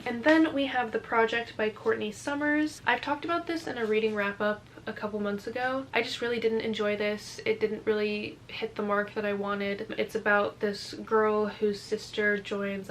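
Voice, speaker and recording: Young american woman just chatting about stuff to camera, medium pitch, noticeable background noise. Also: a bit bright. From United States. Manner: informal, conversational, self-correcting. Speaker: book vlogger. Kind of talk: casual chat to viewers.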